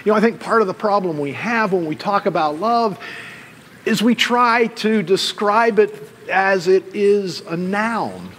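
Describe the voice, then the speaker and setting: A middle-aged American man, a pastor preaching a sermon in deliberate, emphatic phrases with pauses. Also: high-pitched, fairly bright tone. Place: church room with stained glass windows, indoors. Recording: long hall reverb, some background noise.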